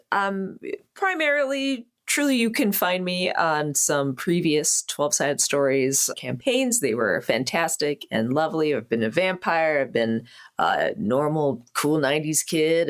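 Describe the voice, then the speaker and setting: A woman's voice: low-pitched, lively intonation, measured pace. A woman chatting casually as a player on a tabletop game podcast. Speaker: player on an actual-play podcast. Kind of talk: casual chat.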